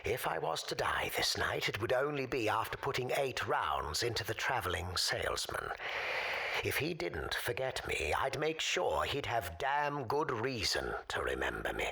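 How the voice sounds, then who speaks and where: An actor playing a weary, sinister old man in an audio drama, telling his tale formally and theatrically in a hoarse, breathy rasp with dramatic pauses. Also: mid-range voice, very animated, bright, forceful tone, full character voice. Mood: tense, grim. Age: older.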